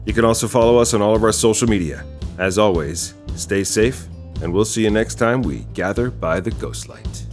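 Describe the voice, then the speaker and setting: A man's voice: fairly low pitch, moderate pace, darkish tone, soft background music. A man hosting a podcast interview in a casual, earnest and appreciative manner. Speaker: podcast host and writer.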